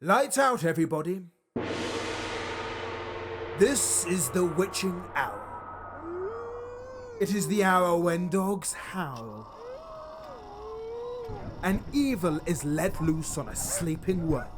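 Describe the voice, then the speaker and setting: A man's voice: high-pitched, big pitch swings, music in the background, full character voice. A man playing a spooky horror announcer, drawing out words theatrically in an old-time radio intro. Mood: ominous. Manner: slow, drawn-out, theatrical delivery.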